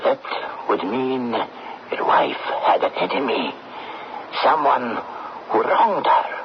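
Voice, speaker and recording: Radio drama general: formal, courtly dead soldier, measured pace, medium pitch, muffled vintage recording. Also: male voice, lively intonation. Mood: probing. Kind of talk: old-time radio drama acting. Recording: dull, noisy old radio broadcast.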